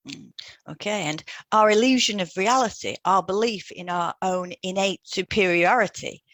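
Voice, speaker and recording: A woman co-hosting an educational podcast, reading scripted explanations in a measured, explanatory way. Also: mid-range voice, fairly bright tone, no echo. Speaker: podcast co-host, hypnotherapist. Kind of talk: scripted podcast discussion, read aloud.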